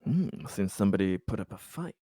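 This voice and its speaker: Guy playing an rpg, lowish voice, swings up and down a lot, dry sound. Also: big pitch swings, a little fast, dark tone, no echo. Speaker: tabletop RPG player. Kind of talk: casual game table talk.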